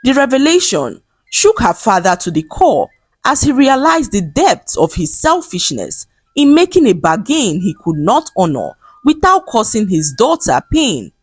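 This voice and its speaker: Expressive woman narrating folktales, slightly husky. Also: a little high, measured pace, no echo, faint music behind. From Nigeria. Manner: dramatic, expressive storytelling. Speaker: storyteller.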